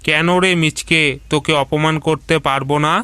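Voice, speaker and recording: A man's voice: fairly high pitch, flat delivery, moderate pace, sharp tone, smooth, dry recording. A man playing a haughty, scolding tiger father in a cartoon, full of contempt and spite. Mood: smug, menacing. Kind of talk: cartoon voice acting.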